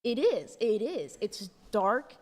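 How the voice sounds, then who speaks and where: A woman's voice: a little high, sing-song, slow, a little mellow. Chatty woman host, rambly with lots of likes and ums, kinda echoey long reverb. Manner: rambling, filler-heavy, lots of "like" and "um". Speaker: podcast host. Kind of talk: casual chat.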